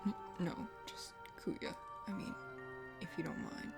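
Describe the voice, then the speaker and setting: A woman voicing a proud but shy young male hunter prince in a visual novel, with a haughty, halting manner. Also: natural voice. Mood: bashful. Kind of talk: visual novel character voice-over. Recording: music under the voice.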